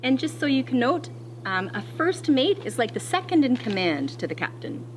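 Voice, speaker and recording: A woman teacher reading a picture book aloud to children in an expressive, deliberate way, pausing to explain as she goes. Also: high voice, a little hiss or hum, rough recording.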